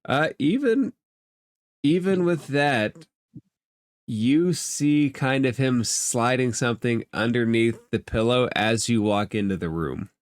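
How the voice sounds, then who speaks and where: A man acts as game master, improvising narration and explaining the world to players. His voice sits at an average pitch and is recorded very dry, with no room around it. The delivery is conversational. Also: animated, takes it slowly, dry recording.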